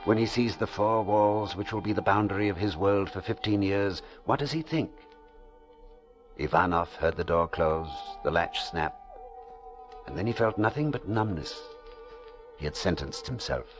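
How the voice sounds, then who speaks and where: Casting: male drama narrator, very low voice, grave and suspenseful, faint music underneath. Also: moderate pace. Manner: solemn, suspenseful, rhetorical. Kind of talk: old-time radio dramatic narration.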